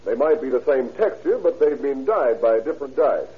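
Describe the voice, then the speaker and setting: A man's voice: mid-range voice, animated, normal speed, lightly acted. An actor playing a plain-spoken, matter-of-fact yarn salesman on the witness stand in an old radio drama. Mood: folksy, explanatory. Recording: tinny, narrow-band old radio recording.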